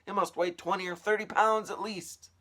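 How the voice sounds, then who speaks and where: A man voicing a mean, bossy storybook aunt who shouts and snaps excitably. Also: high-pitched, moderate pace, voice pushed up. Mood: alarmed, bossy.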